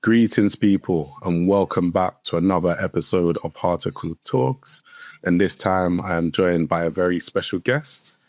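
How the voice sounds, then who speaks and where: A man's voice: low voice, moderate pace, darkish tone, soft background music. A man hosting a podcast interview, friendly and casual, calling his guest "bro". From London, England. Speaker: podcast host, counsellor.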